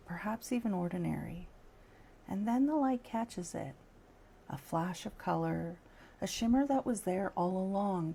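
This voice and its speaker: A woman leading a guided meditation in a slow, soft, soothing way with long pauses. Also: on the low side, vocal fry, slight background noise.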